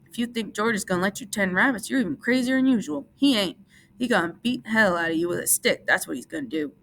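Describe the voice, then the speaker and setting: A woman's voice: fairly high pitch, lively intonation, fast talker, creaky, fairly bright tone, a little hiss or hum, voice pushed up. A woman voicing a giant talking rabbit that taunts with scorn, in a storybook reading. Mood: scornful.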